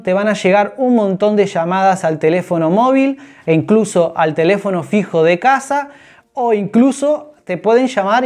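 A man's voice: sits high, darkish tone. Earnest man in his thirties. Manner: earnest, explanatory, gestures with hands. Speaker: personal finance vlogger. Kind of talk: direct-to-camera advice. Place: small indoor room, orange wall.

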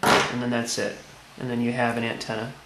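A man's voice: medium pitch, flat delivery, fairly quick. A man giving a casual hands-on how-to demonstration, explaining plainly step by step. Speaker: tutorial presenter. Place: indoors at a tiled tabletop. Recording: echoey room, background noise.

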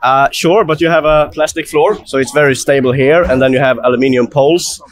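A man at an indoor expo stand explaining a roof tent in a relaxed, matter-of-fact way. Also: mid-range voice, fairly quick. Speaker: exhibitor. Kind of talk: casual interview answer.

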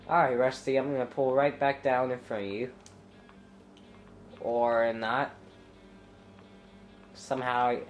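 A young man with a congested, stuffy voice talks slowly and loosely as he plays a racing game, trailing off into ums and breaking into loud yelps. He sounds clogged up and under the weather. Faint game music runs underneath. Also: mid-range voice. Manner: rambling, thinking aloud with many ums, sudden loud exclamations. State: stuffy nose, congested throat. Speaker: gameplay commentator. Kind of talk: casual let's-play commentary.

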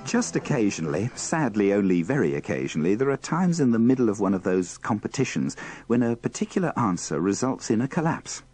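A man delivers scripted comic narration as a host. His voice is low and rather husky, with hugely varied intonation and a dry, wry manner. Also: very animated, brisk, darkish tone, soft background music.

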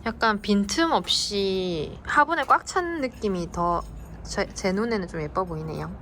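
Woman vlogger, slow and gentle plant narration with small hesitations, home recording with background noise. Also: medium pitch, animated. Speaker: succulent vlogger. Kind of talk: casual vlog narration.

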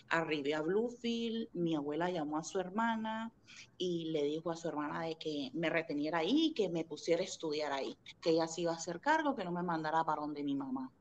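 Nicaraguan lady on a webcam call, kinda low voice, chatty and laughing, bit of hiss behind. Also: normal speed. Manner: animated storytelling, laughs often. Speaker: guest, interviewer's sister. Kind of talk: casual video-call interview. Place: home room indoors. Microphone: video call webcam.

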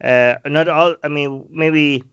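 A man's voice: a little high, steady tone, takes it slowly, fairly bright tone, dull audio, a little hiss or hum. A man in his thirties interviewing a guest over a video call, asking probing, questioning follow-ups full of fillers. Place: small room, plain wall.

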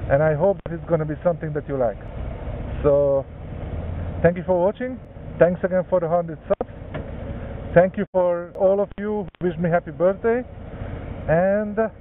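A man motovlogging while riding through town, chatting gratefully to his viewers; he speaks English as a Hungarian native. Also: high voice. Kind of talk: casual vlog talk while riding. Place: outdoors on a motorcycle in city traffic, strong wind. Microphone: helmet camera mic. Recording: windy, lo-fi phone-like sound.

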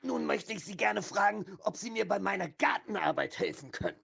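An actor playing a grumpy, demanding old man with a creaky, husky voice in a radio play. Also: sits high, a little fast, a bit bright, heavily acted. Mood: grumpy, indignant.

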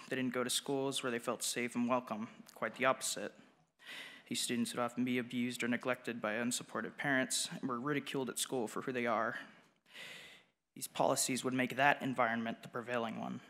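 A man's voice: medium pitch, sharp tone. A young man reading a prepared speech aloud at a podium microphone in a large auditorium. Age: teens or young adult. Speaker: student public speaker at a school board meeting. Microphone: gooseneck podium microphone. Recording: long hall reverb.

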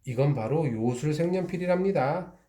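A man reading a storybook aloud voices an excitable fox who runs a shop, talking with jumpy exclamations that leap into high squeals. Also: moderate pace, close to an everyday voice. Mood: proud. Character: fussy, excitable fox shopkeeper. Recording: echoey room.

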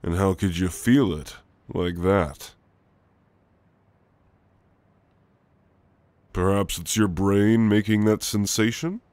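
Man playing a deep, slow, gentle shadow demon. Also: fairly even intonation, clear, resonant tone, dry recording. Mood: teasing, mysterious. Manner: soft, halting, playful, reassuring. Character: gentle, teasing male sleep paralysis shadow demon. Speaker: ASMR roleplay performer. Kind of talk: ASMR roleplay, intimate and slow. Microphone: close, dry microphone.

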